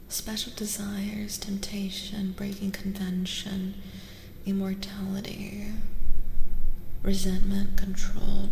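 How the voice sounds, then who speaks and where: A soft-spoken, breathy woman who often drops into a whisper as she gives a gently reassuring ASMR tarot reading, repeating phrases slowly. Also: medium pitch, a little hiss or hum. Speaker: ASMR host and tarot reader. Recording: echoing long reverb, music underneath.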